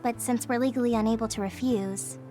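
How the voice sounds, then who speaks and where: A woman's voice: a little high, steady tone, brisk, dark tone, faint music behind, lightly acted. A woman voicing an earnest, polite young female recruit in a video game, with a hesitant way of talking. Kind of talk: English video game voice acting.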